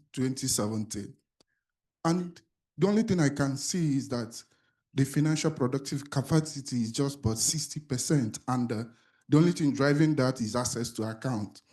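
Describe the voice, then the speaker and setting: A man's voice: mid-range voice, a little mellow. A man from the audience asking a long, hesitant question at a conference, with many fillers. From Nigeria. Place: conference auditorium.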